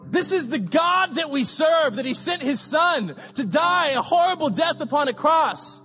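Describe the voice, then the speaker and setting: Give me a high-pitched man delivering a fervent sermon over faint background music. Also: lively intonation, measured pace. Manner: impassioned, repetitive preaching with shouted peaks. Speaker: pastor.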